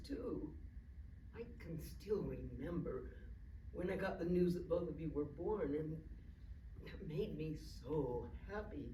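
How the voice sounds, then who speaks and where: Woman acting a halting, remorseful old grandmother, echoey. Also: on the low side, warm tone, natural voice. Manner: halting, repeats words. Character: frail, confused, remorseful elderly mother and grandmother. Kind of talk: staged play reading. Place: performance space, recorded from afar. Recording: echoey distant stage recording, faint noise.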